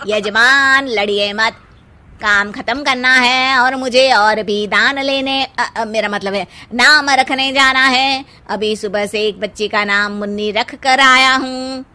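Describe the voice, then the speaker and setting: Greedy cartoon priest, played by a woman, high bright voice, unhurried, placating and pompous. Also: fairly even intonation. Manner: placating, rhyming pronouncements. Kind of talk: comic cartoon dialogue.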